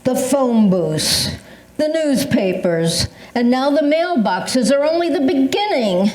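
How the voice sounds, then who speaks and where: A woman's voice: sits high, sing-song, takes it slowly, darkish tone, heavily acted. An older woman playing an agitated, suspicious older woman in an amateur readers theatre performance, speaking emphatically. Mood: alarmed, suspicious. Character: agitated older woman convinced of a mailbox conspiracy. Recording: roomy echo.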